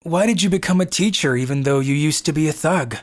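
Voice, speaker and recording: A woman playing a timid, socially awkward high school boy who narrates a manga story, meek and hesitant. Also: brisk, a little hiss or hum, close to an everyday voice. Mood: timid, nervous.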